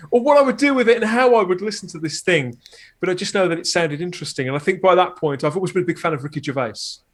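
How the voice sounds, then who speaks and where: A man's voice: high-pitched, fairly quick, fairly bright tone. A man guesting on a podcast, talking casually and discursively, often interrupting his own sentences.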